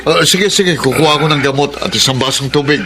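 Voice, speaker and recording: A man's voice: a little high, brisk, close to an everyday voice. An actor playing an urgent, concerned father of a household in a Filipino radio drama. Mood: alarmed, concerned. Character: alarmed, helpful father of the household. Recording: very noisy radio ambience.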